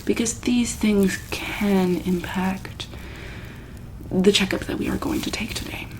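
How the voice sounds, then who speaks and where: A soft-spoken woman in an ASMR medical roleplay, playing an examiner who asks gentle questions, repeats them, and sometimes drops into a breathy whisper. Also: medium pitch, steady tone, a little slow, slight background noise, rough recording.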